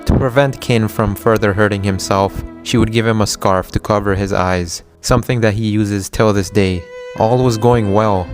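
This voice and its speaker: A man's voice: lowish voice, steady tone, moderate pace, few pauses. A man reading a scripted story recap as narrator, with guitar music behind him.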